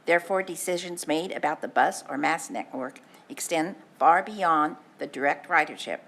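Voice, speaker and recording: Low-voiced woman reading a formal letter. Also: fairly bright tone. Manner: earnest, measured reading with small stumbles. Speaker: advisory committee chair. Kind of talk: reading a letter at a public meeting. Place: public meeting room.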